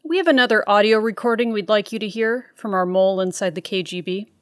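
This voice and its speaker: A woman's voice: mid-range voice, fast talker, no room sound, studio quality, lightly acted. A woman playing an earnest, professional American intelligence agent in a radio drama. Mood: earnest, formal.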